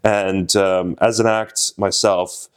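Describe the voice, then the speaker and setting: A man's voice: deep voice, level tone, slow, clear, resonant tone. A man in his twenties from Slovenia, a comedian chatting casually in an interview, rambling and self-deprecating with frequent restarts. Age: 20s. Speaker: comedian guest.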